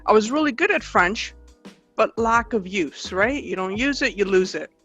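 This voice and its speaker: A Canadian woman with a slight Greek accent, a guest in a casual interview, earnest and reflective and quick to laugh. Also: mid-range voice, lively intonation, fairly bright tone, husky, faint music behind.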